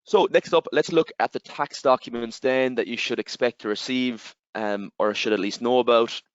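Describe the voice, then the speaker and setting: A man with an Irish accent, giving a webinar presentation and explaining step by step, with steady fillers and repeated "again". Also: mid-range voice, normal speed, dry recording. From Ireland. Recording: slightly dull, dry webinar audio.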